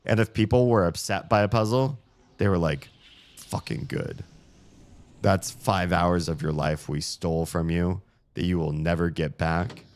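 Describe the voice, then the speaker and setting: A man streaming a puzzle game, grumbling sarcastically about the puzzles while his voice is going hoarse. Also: low voice, measured pace. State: losing his voice. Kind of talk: casual live-stream commentary.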